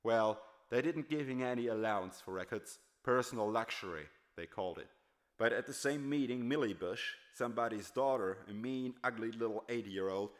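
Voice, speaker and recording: A man's voice: medium pitch, fairly bright tone. A man reading a first-person novel aloud in a dramatic, storytelling style. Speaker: audiobook narrator.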